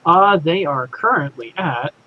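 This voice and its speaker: A man's voice: a little high, expressive, a little slow, phone-quality sound. A man co-hosting a casual podcast, giving short dry replies. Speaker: podcast co-host. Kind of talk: casual chat.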